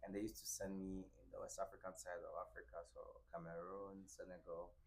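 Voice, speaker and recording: A man's voice: low voice, fairly quick, a little hiss or hum, rough recording. A young man from Burundi whose first language is French, explaining venture capital in conversation and acting out dialogue while checking in with "right?". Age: young adult. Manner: animated, acts out dialogue with many "right?" checks.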